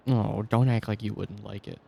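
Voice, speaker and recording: A man's voice: on the low side, steady tone, moderate pace, vocal fry, warm tone, breathy edge. A man performing an intimate sleep-aid roleplay as a doting, teasing boyfriend, speaking tenderly with rain ambience behind him. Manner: tender, praising, teasing, chuckling often.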